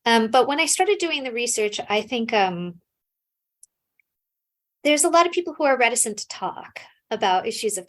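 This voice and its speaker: American woman academic being interviewed, casual, pauses and says um a lot. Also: medium pitch, fairly bright tone. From United States. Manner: thoughtful, frequent fillers and restarts. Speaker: scholar guest.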